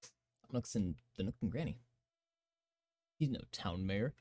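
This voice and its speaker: A young man in his twenties with a raspy voice, chatting casually and giggling often as he streams a game. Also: lowish voice, animated, measured pace, mellow, dry recording. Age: 20s. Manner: giggly, rambling chatter full of fillers.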